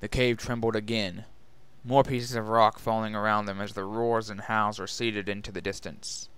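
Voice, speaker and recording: A man's voice: fairly low pitch, little pitch movement, moderate pace, fairly bright tone, clear, resonant tone. A man narrating a scripted story as a dramatic reading. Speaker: narrator and reader.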